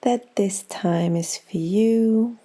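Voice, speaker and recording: Very slow, bright-voiced woman guiding meditation. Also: mid-range voice, husky. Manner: calm, soothing, unhurried with long pauses. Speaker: yoga nidra guide. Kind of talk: guided meditation.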